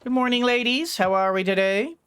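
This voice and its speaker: A gaming video host giving casual let's-play commentary, thinking out loud in fragments with playful asides. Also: mid-range voice, fairly quick, clear, resonant tone.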